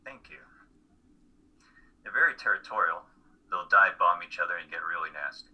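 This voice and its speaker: A man playing a blunt, earnest college student in a play reading, husky and matter-of-fact. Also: sits low, animated, normal speed, pushed and bright, full character voice, poor audio. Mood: dry, defensive. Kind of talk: dramatic reading of a stage play.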